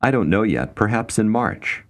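A man's voice: fairly low pitch, expressive, normal speed. A man narrating a language course, reading short English phrases for the learner to repeat. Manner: clear prompting, reading short English cues. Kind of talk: scripted instructional narration.

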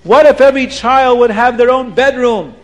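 A man's voice: very high-pitched, normal speed, big-room sound, voice pushed up. A man voicing a poor, hopeful father of six who muses wistfully. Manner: wistful, musing.

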